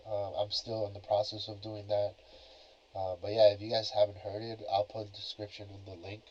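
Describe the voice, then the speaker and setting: A man's voice: on the low side, monotone, a little fast, darkish tone, slight background noise. A young man hosting a casual solo podcast, talking in a laid-back, rambling way full of filler phrases. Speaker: podcast host. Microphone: cheap plug-in computer microphone. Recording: dull lo-fi cheap mic.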